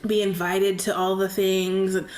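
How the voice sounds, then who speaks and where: A woman's voice: medium pitch, little pitch movement, measured pace, a little mellow, slight background noise. A woman in her thirties, a podcast guest talking candidly and chattily, often laughing and filling with "like". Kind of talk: candid conversational interview. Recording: roomy echo.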